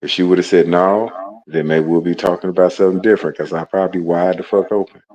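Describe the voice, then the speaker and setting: A man's voice: low-pitched, steady tone, fast, darkish tone. A man in his late forties talking in a casual chat, rambling, with many restarts and frequent "you know what I mean". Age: 40s. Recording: dull lo-fi sound.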